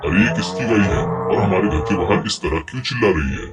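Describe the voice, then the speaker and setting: A man's voice: deep voice, a little fast, over loud music, lightly acted. A man playing a plain-spoken village man in a cartoon moral tale, talking expressively. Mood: puzzled. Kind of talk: Hindi cartoon story dubbing.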